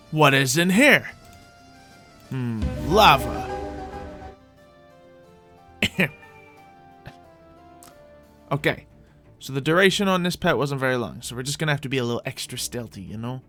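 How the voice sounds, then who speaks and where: A man's voice: medium pitch, sharp tone. A man hosting a gaming roleplay video, giving playful, theatrical commentary as he talks to himself and his viewers. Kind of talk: in-character gameplay commentary. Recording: music behind the voice. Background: music.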